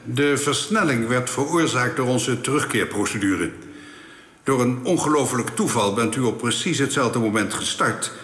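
A man playing a composed, formal official of an alien planet in a science fiction radio drama, speaking in a measured, matter-of-fact way. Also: medium pitch, fairly bright tone, close to an everyday voice. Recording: echoey hall-like reverb.

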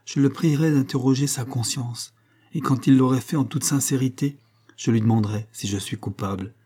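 A man's voice: medium pitch, normal speed, dark tone, breathy edge, close to an everyday voice. A man voicing an anguished, defiant wife and mother in an audiobook, earnest and pleading. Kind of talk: dramatic audiobook dialogue.

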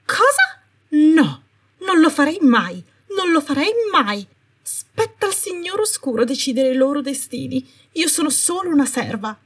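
A woman's voice: high voice, very animated, a little slow, fairly bright tone, pitched above the performer's own voice, exaggerated voice. A woman voicing a suave but flustered female prison official, servile, defensive and pleading, with a sugary, stammering manner. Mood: horrified, pleading. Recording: roomy, distant microphone.

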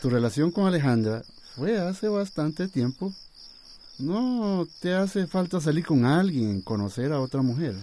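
A man's voice: on the high side, sing-song, unhurried, warm tone. An actor playing an easygoing, teasing male friend in a radio soap, with a Costa Rican accent. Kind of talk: radio soap opera acting.

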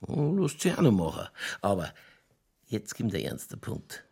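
A man playing an older, folksy Bavarian police chief in a radio crime drama, sly and skeptical, with a colloquial, dry, placating manner and a gravelly voice. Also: fairly low pitch, sing-song, unhurried, mellow, heavily acted. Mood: musing, skeptical.

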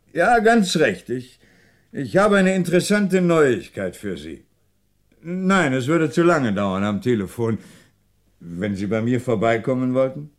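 An actor playing a shrewd, self-assured police commissioner with a wry, confident manner in a 1960s German radio crime drama. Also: male voice, a little high. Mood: apologetic, persuasive.

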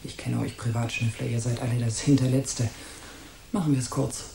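A woman's voice: rumbling low, steady tone, normal speed, vocal fry, background noise. An actress playing a haughty, suspicious and scornful married woman in a German radio crime drama. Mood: scornful, accusing. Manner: disdainful, imperious, guarded. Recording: roomy echo.

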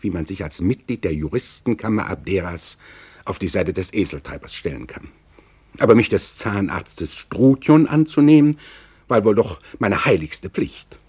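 An actor playing an indignant, sanctimonious lawyer who justifies himself theatrically in a 1950s German radio play. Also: male voice, sits low, a little mellow, husky, heavily acted. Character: indignant, sanctimonious male lawyer, fussy about fees. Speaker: advocate character narrating.

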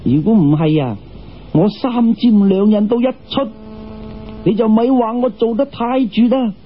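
一个男人用粤语口音扮演傲慢嘅女牛精，语带嘲讽同威吓，录音闷闷哋。. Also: male voice, high voice, slightly rough. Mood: scornful, threatening. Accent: Cantonese. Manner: slow, scornful taunting. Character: haughty female ox-demon villain, mocking and threatening. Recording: dull, muffled radio-style recording.

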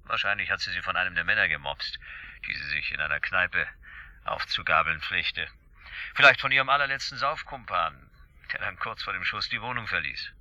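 Sharp-edged, sardonic police inspector. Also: male voice, deep voice, a little fast, no room sound, lo-fi sound. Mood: matter-of-fact. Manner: laconic, sardonic, matter-of-fact. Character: dry, sceptical male police chief inspector. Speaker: detective. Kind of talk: radio drama dialogue.

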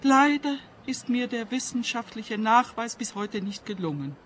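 A woman's voice: fairly high pitch, expressive, a little slow, slight background noise. An actress playing an older woman scientist in a radio play, anxious, obsessive and stubbornly defensive, speaking plaintively. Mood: anxious, earnest.

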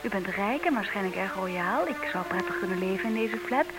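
A woman's voice: mid-range voice, animated, brisk, over loud music, natural voice, poor audio. A woman playing a wry, independent-minded, slightly old-fashioned single lady in a vintage comic radio play, polite and dryly teasing. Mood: wistful, reflective.

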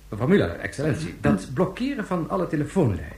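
An actor playing a polite, probing news reporter in a science fiction radio play, questioning with formal deference. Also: male voice, fairly high pitch, darkish tone, full character voice. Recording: roomy, echoing sound.

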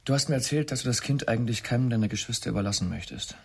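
An actor playing a guarded, earnest male astronomer in a German radio drama, husky and brisk with a dry, controlled manner. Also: medium pitch, a little hiss or hum, close to an everyday voice. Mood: earnest, determined.

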